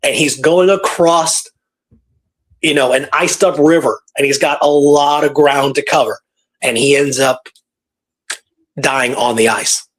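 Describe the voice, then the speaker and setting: A man's voice: mid-range voice, steady tone, unhurried, pauses often, fairly bright tone, rough recording. A man hosting a radio show, telling a story in a casual, conversational way and repeating phrases for emphasis.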